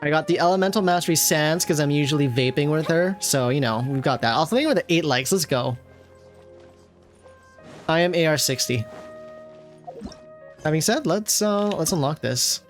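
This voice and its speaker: A man's voice: fairly high pitch, expressive, moderate pace, pauses often. A man doing casual livestream reaction commentary, gushing playfully over a video game. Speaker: game streamer. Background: game music.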